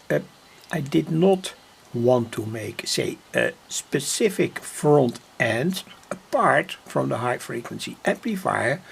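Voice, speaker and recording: Slow, gravelly Dutch-accented man. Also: fairly high pitch. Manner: halting, deliberate, pausing mid-sentence. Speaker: electronics hobbyist presenter. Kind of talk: unscripted demonstration of a homemade device.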